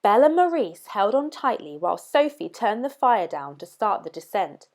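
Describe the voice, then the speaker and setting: A woman reading a children's story aloud as narrator, with expressive, dramatic emphasis. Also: mid-range voice, normal speed.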